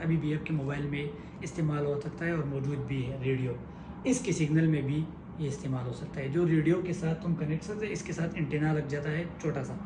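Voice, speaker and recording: A man giving a step-by-step technical tutorial, explaining simply and repetitively in halting phrases. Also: on the high side. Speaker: technical instructor. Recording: echoey room with clear background noise.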